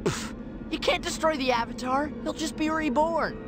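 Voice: high-pitched, moderate pace, over music, close to an everyday voice. A performer voicing a sarcastic, impatient teenage boy warrior in a cartoon game, brash and exclamatory. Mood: scornful.

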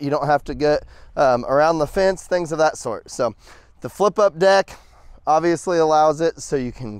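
A man's voice: clean tone, no echo. A man in his thirties giving a casual, upbeat product walkthrough as an equipment salesman, talking outdoors on a dealer lot with a lapel mic. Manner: upbeat, chatty sales pitch with fillers.

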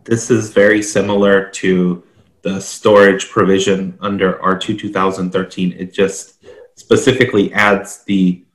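A man's voice: low-pitched, level tone, measured pace. A man around his forties explaining standards informally as a consultant on a video call. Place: home office, indoors, on a video call. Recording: roomy, echoing video-call audio.

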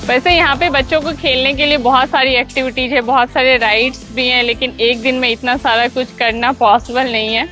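A woman in her thirties giving a chatty travel vlog tour with a lapel mic, pausing with "uh" as she explains things to viewers. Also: high voice, fairly even intonation, bright, forceful tone, soft background music. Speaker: travel vlogger. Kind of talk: casual travel vlog narration. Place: outdoor theme park. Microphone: clip-on lapel mic.

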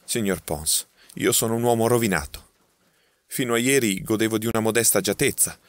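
A man's voice: low voice, brisk, no room sound. A man voicing a frightened young man accused of murder, his words tumbling out in agitation. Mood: desperate. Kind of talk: audiobook character voicing.

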